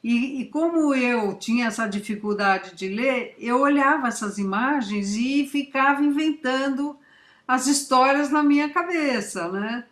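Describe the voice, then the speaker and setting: Older woman from italy chatting as a guest, kinda echoey, thoughtful n halting. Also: high-pitched. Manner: warm, reflective, hesitant. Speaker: guest writer. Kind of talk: casual interview chat. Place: library event. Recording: echoey room.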